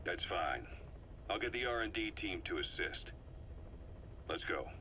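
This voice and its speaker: A man playing a businesslike middle-aged military comrade in a video game, gruff and matter-of-fact, on a dull tape recording. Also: low voice, lively intonation, measured pace. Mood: curious, businesslike. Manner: matter-of-fact, conversational, terse. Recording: dull cassette-tape sound with hiss.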